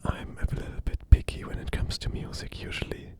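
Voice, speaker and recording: A man with a German accent doing a casual whispered ASMR monologue, gently and with pauses. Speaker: ASMR creator.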